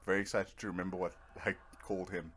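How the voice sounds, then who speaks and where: A man's voice: sits low, level tone, quick pace, fairly bright tone, slight background noise. A man giving casual, rambling commentary over a video game, with a dry, self-deprecating sense of humor. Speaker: video game let's player.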